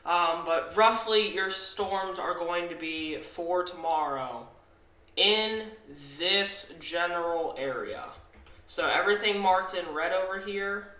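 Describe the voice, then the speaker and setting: Tired, halting young man in echoey room. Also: high voice, bright, forceful tone. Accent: Southern US. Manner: halting, many fillers and restarts, self-corrects. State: tired after little sleep. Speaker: weather forecaster, channel host. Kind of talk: casual forecast walkthrough over weather maps.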